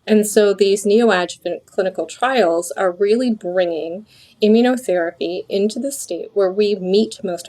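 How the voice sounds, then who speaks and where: Woman expert guest on a podcast, lowish voice, explains things in formal articulate phrases. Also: darkish tone. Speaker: medical oncologist, podcast guest. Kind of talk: interview answers, explanatory.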